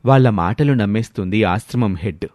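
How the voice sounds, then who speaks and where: A man's voice: fairly low pitch, fairly quick, darkish tone, dry recording, clean studio sound. A man narrating a scripted story as the storyteller.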